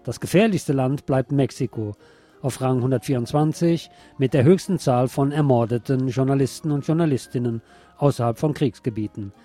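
A man's voice: mid-range voice, moderate pace, warm tone, faint music behind. A man presenting a scripted radio news report. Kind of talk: scripted news reading, later live eyewitness report.

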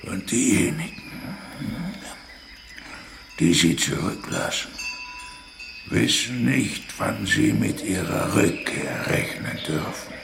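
An actor playing a weary old chieftain in a German radio drama, grave and halting, with a gravelly, breathy voice. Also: male voice, fairly low pitch, sing-song, full character voice. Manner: slow, halting, deliberate. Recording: echoey, with clear background noise.

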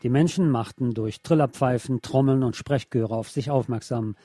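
Male news reader, mellow, slightly husky, formal scripted delivery, faint music behind. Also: mid-range voice. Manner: measured, formal read-out delivery.

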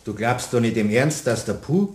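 A man's voice: mid-range voice, animated, moderate pace, darkish tone, echoey room, close to an everyday voice. A man with a Bavarian accent, acting a teasing, easygoing joker in a radio play. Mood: placating. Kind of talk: German radio play dialogue.

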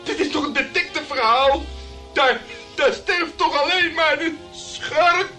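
High-pitched, blustering private detective. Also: male voice, a little slow, sharp tone, faint music behind, full character voice. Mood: frightened pleading. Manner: theatrical, blustering, stammering when cornered. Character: boastful, self-pitying male private detective, callous womanizer. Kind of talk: radio play acting.

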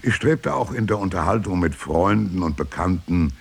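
A proud, reflective scholar-poet of the Middle Ages in a solemn radio monologue. His voice is low and gravelly, very deliberate, swelling into emphasis and sinking to hushed endings. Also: animated, exaggerated voice. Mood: solemn, self-reflective.

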